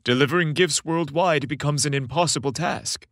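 A man voicing an old Santa Claus in a story, booming and emphatic, with a fatherly weight. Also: moderate pace, vocal fry, a bit bright, no echo, studio quality. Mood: grave, worried. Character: jovial booming old Santa Claus, grave when worried.

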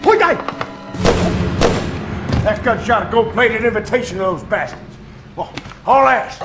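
An actor playing a short-tempered, foul-mouthed soldier in a war game, with a gruff barking manner. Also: male voice, sits high, very animated, unhurried, in a large hall. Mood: urgent. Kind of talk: video game cutscene acting. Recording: loud music over the voice.

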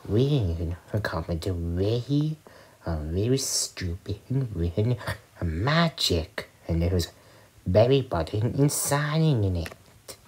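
An excitable cartoon character from a homemade skit, acted out by a woman. The voice is husky and slow. It stumbles and repeats itself, yet its pitch moves around in an animated way. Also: exaggerated voice. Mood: halting, explaining. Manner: halting, stumbling delivery with repeated words.

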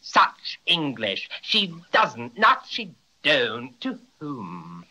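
A lofty, pedantic male owl scholar who lectures on grammar and breaks into deep hooting calls. His voice swoops widely and rings brightly, in the style of a 1930s radio play. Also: huge pitch swings, very slow, full character voice. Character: pompous educated male owl professor, fussy grammar stickler. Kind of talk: 1930s radio drama acting.

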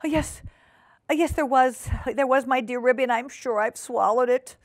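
A woman's voice: high-pitched, moderate pace, no echo, clean studio sound, pitched above the performer's own voice. A woman voicing an anxious female dog from a storybook, overpolite and flustered, with a stammering, hesitant manner. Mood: wailing, panicked.

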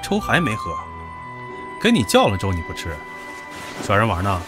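A man's voice: fairly low pitch, wildly swooping pitch, normal speed. A man playing a brash, bossy young heir. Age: young adult. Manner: brusque and commanding, swears. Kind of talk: radio drama acting. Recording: background music.

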